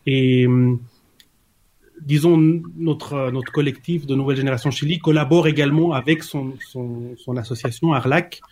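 A man's voice: medium pitch, dark tone. A man answering as an interview guest, hesitant and often restarting his sentences. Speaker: guest, collective member.

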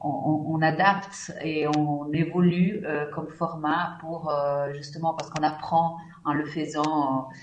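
A woman's voice: low-pitched, level tone, slow pace, hardly any pauses, lo-fi sound, slight background noise. Hesitant Italian woman in an echoey room. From Italy. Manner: hesitant, many fillers and restarts. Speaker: festival partnerships manager, guest. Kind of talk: radio interview.

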